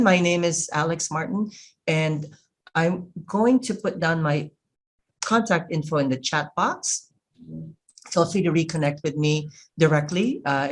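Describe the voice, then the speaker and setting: A webinar facilitator from the Philippines, a Tagalog speaker by birth, presenting over a video call. The delivery is earnest and measured, with a middle-range voice. They walk listeners through their slides step by step. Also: medium pitch. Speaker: workshop facilitator.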